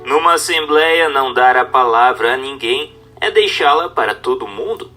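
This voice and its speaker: Male narrator, bright forceful tone, formal didactic book reading, soft background music. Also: mid-range voice, expressive, moderate pace. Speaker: audiobook narrator.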